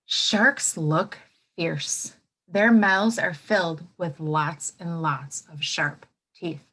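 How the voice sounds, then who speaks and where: A woman teacher reading aloud to young children in an expressive way, pausing to add asides and prompt them with questions. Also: lowish voice, poor audio. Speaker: elementary school teacher.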